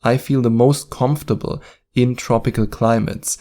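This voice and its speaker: A man's voice: mid-range voice, fairly even intonation, moderate pace, mellow. A young man with a German accent, speaking as host in an earnest, reassuring self-help talk about sleep.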